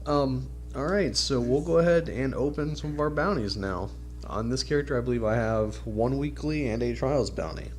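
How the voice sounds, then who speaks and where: A man's voice: medium pitch, animated, normal speed, a little mellow, slight background noise. A man with a stuffed-up, nasal voice from a cold, giving casual, informal video game commentary as a channel host.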